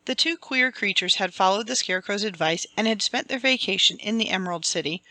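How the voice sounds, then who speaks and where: A woman's voice: medium pitch, a little fast, bright, forceful tone, no echo. A woman reading a children's fantasy storybook aloud as scripted, dramatic audiobook narration. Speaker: audiobook reader.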